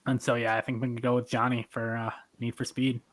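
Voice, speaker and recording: A man's voice: mid-range voice, level tone, a little fast, fairly bright tone, no room sound. A man chatting casually as the host and judge of a podcast debate. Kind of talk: casual chat.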